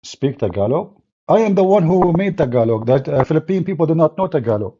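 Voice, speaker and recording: Husky-voiced man hosting a livestream. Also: a little high, very animated, a little fast, warm tone. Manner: mocking, sarcastic, non-native English phrasing. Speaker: livestream host. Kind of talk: livestream talk with callers. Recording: dull lo-fi stream audio.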